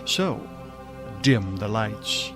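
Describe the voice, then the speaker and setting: A man acting as a genial but creepy radio theater host, with a theatrical, smoothly polite delivery. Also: fairly low pitch, very animated, drawn-out words, music in the background, heavily acted. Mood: theatrical, ominous. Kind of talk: old-time radio host introduction and acting.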